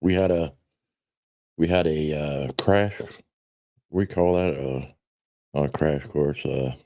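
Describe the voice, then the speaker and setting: Male, forties, livestream host. Low, mellow voice, blunt and rambling, self-deprecating. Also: slow, no echo. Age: 40s. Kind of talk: casual livestream chat.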